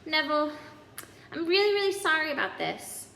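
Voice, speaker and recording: Woman as a brisk, bright, clever schoolgirl. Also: sits high, very animated, gravelly, pitched above the performer's own voice, exaggerated voice. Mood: apologetic. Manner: brisk, self-assured. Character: brisk, clever schoolgirl, bossy but caring. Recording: echoey hall, background noise.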